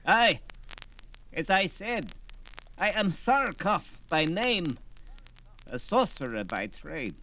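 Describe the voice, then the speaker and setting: An aged sorcerer, proud and easily aggrieved. The voice sits high and swings dramatically, with a slow, emphatic delivery from a fantasy record drama. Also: male voice, very animated, bright, forceful tone, slight background noise, full character voice, rough recording. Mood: proud, plaintive. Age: older. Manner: theatrical, indignant, emphatic. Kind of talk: 1970s fantasy audio drama acting.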